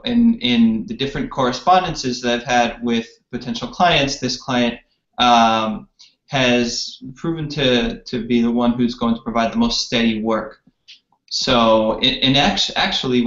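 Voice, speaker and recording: A man leading an informal work meeting over a video call, speaking haltingly and rephrasing as he goes. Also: medium pitch, fairly bright tone. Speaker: team lead and sales representative hosting a meeting. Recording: roomy, dull online call audio.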